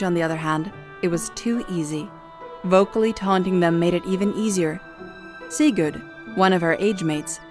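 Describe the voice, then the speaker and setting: A woman reading a scripted story as the narrator of an audio drama. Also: mid-range voice. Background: music.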